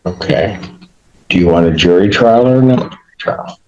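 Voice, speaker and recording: A man's voice: sits low, animated, normal speed, a little mellow. A man speaking as a judge in court, putting procedural questions and rulings formally and insistently. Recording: dull, noisy courtroom microphone audio.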